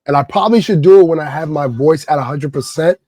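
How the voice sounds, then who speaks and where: A man hosting a podcast, hoarse and raspy from a cold, chatting in a laid-back slangy way. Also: a little high, fast, mellow, no echo, studio quality. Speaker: podcast host. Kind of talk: casual chat.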